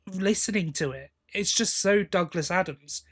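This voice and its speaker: A man's voice: high-pitched, moderate pace, fairly bright tone. A man hosting a podcast, talking in a casual, conversational discussion style. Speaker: podcast host.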